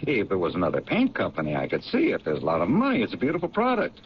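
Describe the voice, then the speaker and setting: Animated lab sergeant, muffled radio. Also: male voice, sits low, fast. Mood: eager. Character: eager, chatty male police lab sergeant. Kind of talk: old radio drama acting. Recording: muffled, noisy 1970s radio recording.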